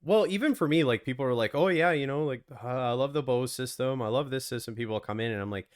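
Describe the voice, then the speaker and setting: A man co-hosting a podcast in casual chat, his voice hoarse from being sick, riffing in a laid-back way. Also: mid-range voice, quick pace, no room sound, clean studio sound. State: hoarse from illness. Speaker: podcast co-host.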